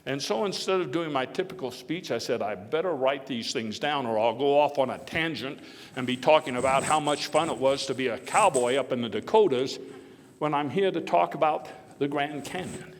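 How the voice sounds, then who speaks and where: A man playing a genial, self-deprecating old statesman who tells stories in a halting, folksy after-dinner speech. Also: medium pitch, animated, lightly acted. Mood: proud, reminiscing. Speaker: historical reenactor giving a speech. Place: large indoor hall before an audience. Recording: echoey hall, noisy live recording.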